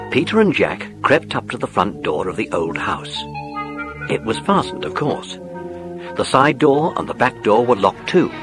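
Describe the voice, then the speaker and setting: Man, lowish voice, very expressive intonation, suspenseful children's story narration, music behind.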